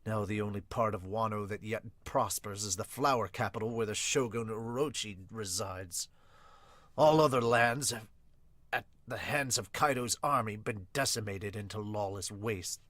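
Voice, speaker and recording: A man's voice: on the low side, normal speed, a bit bright, dry recording, natural voice. A man voicing a stern, indignant old tengu swordsmith with formal, archaic speech, reading a manga aloud. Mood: grave recounting. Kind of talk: manga read-aloud dub.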